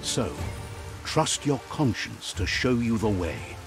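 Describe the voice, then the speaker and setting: An actor playing a wise, philosophical storyteller narrating a fantasy game in a solemn, measured way. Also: male voice, lowish voice, sing-song, exaggerated voice. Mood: warmly solemn. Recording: music behind the voice.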